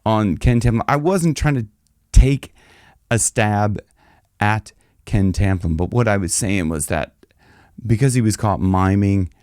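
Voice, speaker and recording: A man's voice: lowish voice, unhurried, long pauses, darkish tone, clean tone, no echo, clean studio sound. A man who is a rock singer and vocal coach, talking casually to the camera with a loose, rambling delivery full of restarts. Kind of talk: casual direct-to-camera advice.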